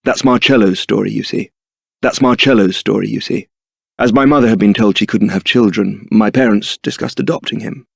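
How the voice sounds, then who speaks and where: Suave young knight, creaky voice. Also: male voice, fairly low pitch, normal speed, dry recording, clean studio sound. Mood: wistful, confiding. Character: young male templar knight, suave, wry, brooding. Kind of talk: video game voice acting, dramatic scripted dialogue.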